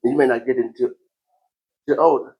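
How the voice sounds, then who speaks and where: A man hosting a podcast in a casual conversational style, animated, often repeating phrases and breaking easily into laughter. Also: mid-range voice, fairly quick. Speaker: podcast host.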